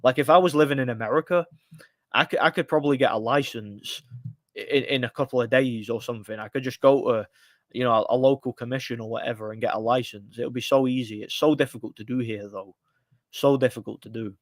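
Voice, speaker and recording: A man's voice: mid-range voice, fast, dry recording. A man in his thirties talking boxing on a casual live stream, rambling with many restarts and giving blunt, frustrated opinions. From United Kingdom. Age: 30s. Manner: rambling, frequent restarts and fillers. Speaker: livestream host.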